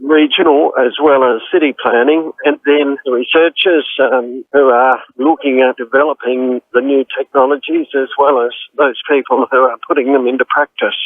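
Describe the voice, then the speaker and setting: A man's voice: mid-range voice, steady tone, moderate pace, hardly any pauses, no room sound. A man, a professor, speaking in an interview clip, hesitant with frequent fillers. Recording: tinny phone line.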